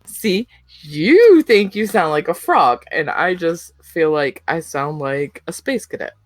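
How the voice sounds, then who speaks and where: A woman's voice: low-pitched, lively intonation, slow pace, no room sound. A young woman co-hosting a podcast, chatting casually, rambling with lots of fillers and giggles. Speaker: podcast co-host. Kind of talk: casual chat.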